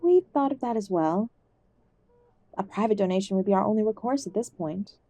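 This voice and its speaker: Woman acting bright, husky, pleading older mother. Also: medium pitch, expressive, a little fast, no echo. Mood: subdued. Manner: soft, pleading, conciliatory. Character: ailing, contrite older mother of estranged werewolf son. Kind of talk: audio roleplay drama acting.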